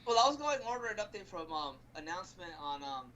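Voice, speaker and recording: A man co-hosting casual gaming talk, halting as he searches for his words. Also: sits high, very animated, sharp tone, a little hiss or hum. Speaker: co-host of a gaming news show.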